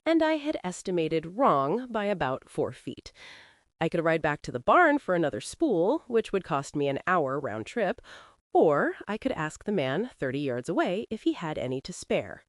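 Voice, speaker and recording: Low-voiced, expressive woman telling a western story. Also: no room sound. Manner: dry, wry, measured storytelling. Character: proud, capable, wry frontier ranch woman narrating. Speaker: narrator. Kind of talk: first-person scripted story narration, western audio drama.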